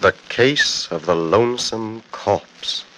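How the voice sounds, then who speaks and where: A man narrating a 1940s radio mystery in a theatrical, deliberate storytelling manner. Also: on the low side, heavy background noise. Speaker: narrator, host. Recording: old 1940s radio broadcast.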